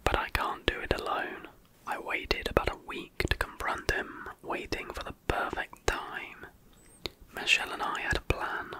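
Slow whispering woman telling a horror story. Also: poor audio. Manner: soft, hushed and slow. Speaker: narrator.

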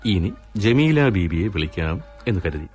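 A man narrating a radio story in a measured, scripted storytelling delivery. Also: low-pitched, big pitch swings, darkish tone, soft background music.

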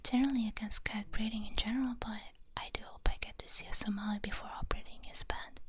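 A woman with a Hungarian accent, whispering softly and gently rambling through a binaural ASMR stream chat. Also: fairly high pitch, fairly even intonation, fast talker. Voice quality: soft breathy whisper. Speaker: VTuber streamer. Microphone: binaural mic.

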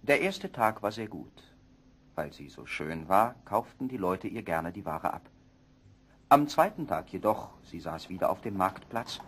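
A man narrating a scripted fairy tale as an expressive storyteller. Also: lowish voice, slight background noise.

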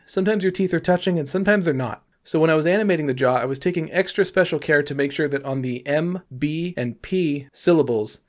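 A man narrating a tutorial in a casual, informal, explanatory way. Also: mid-range voice. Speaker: 3D animation tutorial presenter.

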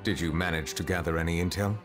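A man playing a calm, stern chief justice in a video game, speaking formally and with composure. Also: low-pitched, fast, big-room sound, music in the background, natural voice. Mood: composed, stern.

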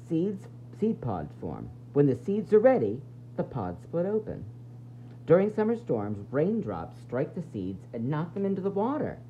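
A man's voice: fairly high pitch, highs cut off, slight background noise. A man reading a picture book aloud to young children like a teacher, with dramatic emphasis and playful asides.

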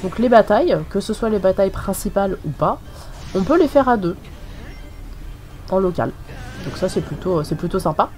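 A woman's voice: mid-range voice, lively intonation, moderate pace, long pauses, darkish tone. A woman with a French accent and a cold, giving casual, rambling gameplay commentary full of hesitations. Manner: rambling, many hesitations and fillers. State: has a cold, sniffly. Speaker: gaming channel host. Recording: voice-over with faint game music.

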